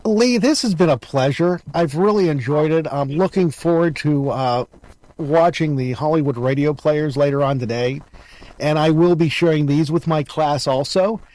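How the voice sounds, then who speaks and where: A man's voice: a little high, moderate pace, darkish tone, dry recording, rough recording. A middle-aged man hosting a casual, conversational interview. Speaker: interview host.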